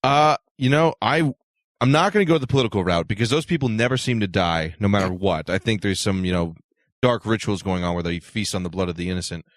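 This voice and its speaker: Male co-host, fairly low voice, dry close sound, casual conversation. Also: quick pace, no room sound. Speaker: show co-host. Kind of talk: casual panel banter.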